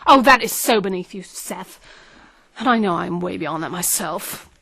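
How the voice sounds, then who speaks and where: A woman's voice: on the low side, animated, moderate pace, pushed and bright, no echo, heavily acted. An actress playing a haughty, incredulous, dismissive businesswoman in an audio drama. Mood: incredulous, scornful.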